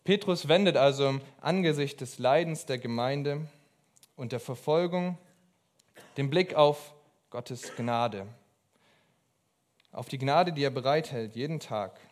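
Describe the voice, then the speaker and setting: A young man preaching a sermon in an earnest, emphatic way, pausing between phrases. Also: mid-range voice. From abroad.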